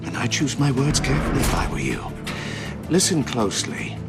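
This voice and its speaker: An actor playing a sinister, theatrical older villain who taunts with riddles in menacing, deliberate phrases in a video game. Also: male voice, mid-range voice, wildly swooping pitch, vocal fry. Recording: loud music behind the voice.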